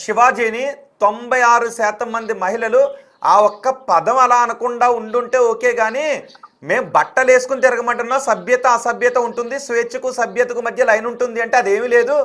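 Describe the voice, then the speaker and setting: High-pitched, rapid-fire, confrontational male news anchor. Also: steady tone, a bit bright. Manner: confrontational, insistent, repeats questions emphatically. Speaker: news anchor interviewing a caller. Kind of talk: heated on-air debate.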